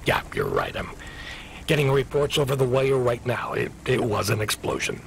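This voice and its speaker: An officious radio dispatcher in a futuristic game, evasive and hesitant. His middle-pitched voice moves around in lively swings, with clear engine-like noise behind it. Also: moderate pace. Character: evasive male delivery dispatcher in a sci-fi city. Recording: noisy vehicle ambience behind.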